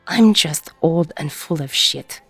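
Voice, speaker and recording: A woman playing a weary, gruff, middle-aged ex-con bartender, husky and breathy, blunt and self-deprecating, in an intimate audio roleplay. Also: sits low, slow pace, dry recording, faint music behind, exaggerated voice. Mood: wry, teasing.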